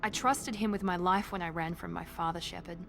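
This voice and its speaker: A woman's voice: on the low side, fairly even intonation, brisk, vocal fry, fairly bright tone. Here is a proud, guarded video game heroine. She speaks with controlled, earnest intensity and sounds fiercely protective, over faint music. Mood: earnest, defensive.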